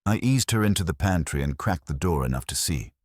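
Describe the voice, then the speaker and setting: A man's voice: deep voice, animated, fairly quick, a little mellow, no room sound, studio quality. A man reading a first-person detective story as an audiobook narrator.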